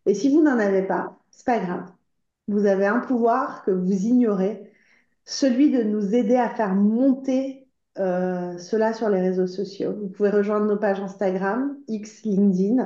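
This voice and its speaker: A woman from Iran addresses a conference audience with earnest urgency, pleading for action between frequent hesitant fillers. Her voice is mellow and dark, of middle pitch. The room is roomy and echoing, and the recording sounds slightly dull and muffled. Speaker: association spokeswoman speaking at a conference. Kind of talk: impassioned conference speech.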